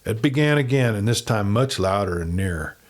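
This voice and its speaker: A man's voice: lowish voice, lively intonation, brisk. Man narrating a dramatic western story. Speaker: audiobook narrator.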